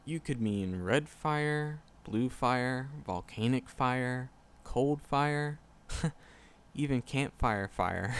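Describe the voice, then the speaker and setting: Man acting as a slow-talking, shy magic professor. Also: mid-range voice. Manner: stammering, hesitant, repeats words, flustered. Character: shy, nervous young male magic professor, earnest and skittish. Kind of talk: roleplay audio drama, spoken to the listener.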